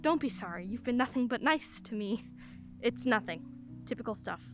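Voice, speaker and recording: A woman's voice: on the high side, animated, moderate pace, music in the background, natural voice. An actress playing a wry, candid single mother in her forties in a radio play, a lawyer who laughs easily while talking. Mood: conversational, wry.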